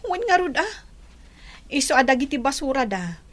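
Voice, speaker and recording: An actress playing a poor, fretful village wife, plaintive and worried, in an Ilocano radio drama. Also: female voice, sits high, very animated, normal speed. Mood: worried, complaining.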